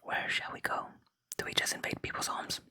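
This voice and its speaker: A gaming host speaks entirely in a gentle whisper, with a very bright, crisp-edged tone. The delivery is ASMR for a let's play, close to the microphone, moving between reading dialogue aloud and quiet commentary. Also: a little fast. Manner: unvoiced, gentle whispering throughout.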